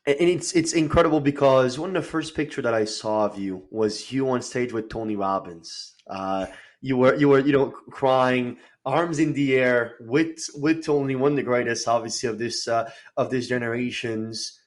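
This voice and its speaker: A man's voice: medium pitch, normal speed. A man hosting a podcast, speaking thoughtfully, with hesitant fillers. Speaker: podcast host. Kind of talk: casual podcast conversation.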